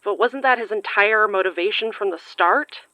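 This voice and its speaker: An actress playing a worried, sarcastic, sharp-tongued young woman in an audio drama, swearing in exasperation. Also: medium pitch, normal speed, dry recording, highs cut off, natural voice. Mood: sarcastic, stressed.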